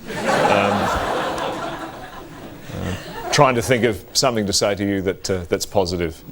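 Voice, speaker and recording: Snide quiz host, lowish voice, dry putdowns, noisy sound. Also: male voice, a little slow. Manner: dry, cutting, hesitant asides. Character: smug sarcastic quiz show host.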